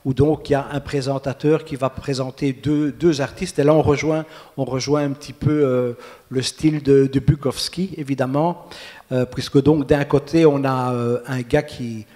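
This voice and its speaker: A man's voice: mid-range voice, fairly even intonation, normal speed, dark tone, long reverb tail. A man from Brussels with a Brussels accent, a guest author and folklorist who chats playfully and recites his own verse. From Brussels, Belgium. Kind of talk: casual interview and poetry recital.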